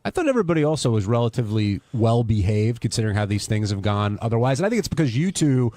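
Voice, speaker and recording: A man asking probing questions in a casual talk-show style, a little halting, close on a studio mic. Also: medium pitch, big pitch swings, warm tone, no room sound. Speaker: radio host questioning guests. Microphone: close studio radio mic.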